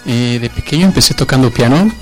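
A man's voice: mid-range voice, expressive, quick pace, faint music behind. A man from Mexico City with a Mexican accent, casually recounting personal memories as an interview guest.

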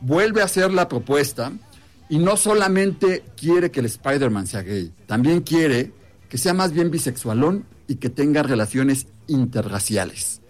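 A man's voice: on the high side, expressive, measured pace. A man co-hosting a casual show, telling stories in a teasing way. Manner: storytelling, teasing. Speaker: co-host. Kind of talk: casual chat.

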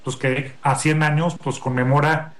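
A man's voice: a little high, level tone, a little slow. Hesitant man answering in an interview. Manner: hesitant, lots of fillers and restarts. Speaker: film producer, guest.

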